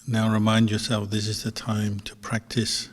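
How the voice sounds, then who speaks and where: A man teaching as a Buddhist monk, guiding a meditation in measured short phrases with long pauses between them. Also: on the low side, completely flat delivery. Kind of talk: guided meditation instruction. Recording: long hall reverb.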